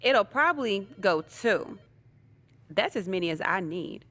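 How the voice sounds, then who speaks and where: A woman's voice: medium pitch, animated, unhurried, bright, forceful tone, soft background music. A woman playing a confident, swaggering wrestling champion in a video game, brash and playful. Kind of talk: naturalistic video game cutscene acting.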